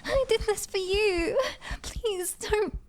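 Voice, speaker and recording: A woman's voice: extremely high pitch, slow pace, voice pushed up. A woman acting a frightened, abandoned young one in an audio roleplay, crying and stammering desperate pleas. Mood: desperate, tearful.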